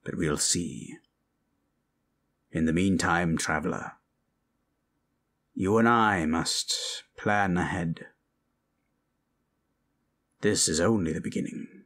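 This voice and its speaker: A man voicing a solemn undead mentor in a fantasy ASMR roleplay, speaking gravely and deliberately. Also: deep voice, fairly bright tone, pitched below the performer's own voice, heavily acted. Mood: grave, measured.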